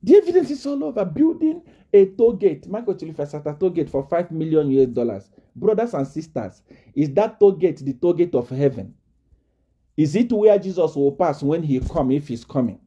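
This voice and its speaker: Fiery, animated male preacher. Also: on the high side, moderate pace, highs cut off. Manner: fiery, repetitive, rising to shouts and laughter. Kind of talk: impassioned live-stream address.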